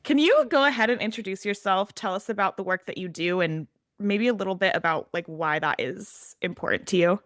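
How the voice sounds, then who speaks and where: A woman's voice: mid-range voice, brisk, hardly any pauses, a bit bright, dry recording, studio quality. A woman hosting a podcast in a conversational interview style. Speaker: podcast host.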